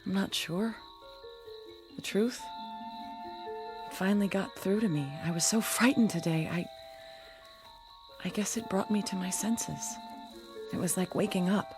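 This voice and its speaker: A woman's voice: mid-range voice, unhurried, pauses often, music in the background, close to an everyday voice. An actress playing an impulsive, ardent young bride-to-be in a radio drama, earnest in her delivery. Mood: tender, confiding. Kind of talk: dramatic radio play acting.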